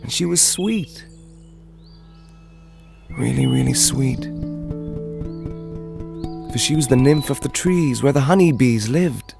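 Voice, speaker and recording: An actor playing a romantic, smitten and wryly comic storyteller of myth, narrating an audio drama with savoured, drawn-out phrases. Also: male voice, medium pitch, creaky, over music. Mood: smitten, savouring.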